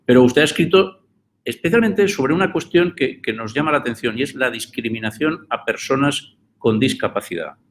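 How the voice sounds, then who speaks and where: A man's voice: medium pitch, slight background noise. A man moderating an online course, introducing a guest and asking him questions in a conversational way. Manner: conversational, with small restarts. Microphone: video call.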